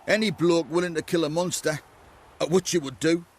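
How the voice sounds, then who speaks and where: An actor playing an excitable townsman in a video game, touting a job in a brisk, colloquial manner. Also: a little high. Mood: eager, animated.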